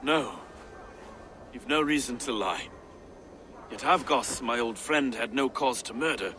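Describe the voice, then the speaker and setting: An actor playing a solemn, reflective nobleman who speaks gravely about power and betrayal. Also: medium pitch, measured pace, sharp tone, slight background noise.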